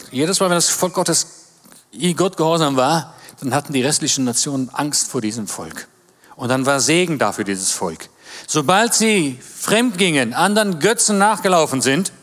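A man in his fifties, born in Germany and raised in Israel, preaching a sermon as a guest speaker. He talks animatedly, rising in pitch for emphasis and calling for amens. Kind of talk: sermon to a congregation. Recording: long reverb of a church hall.

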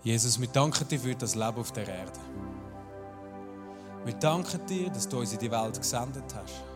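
A man's voice: mid-range voice, moderate pace, in a large hall, over music. A man preaching a sermon and leading a guided prayer, earnest and searching, with small restarts.

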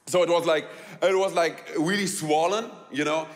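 A man with a German accent tells a personal story to a live audience, halting and repeating words, laughing often and asking the audience questions. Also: a little high, steady tone, long reverb tail. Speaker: speaker telling a personal story.

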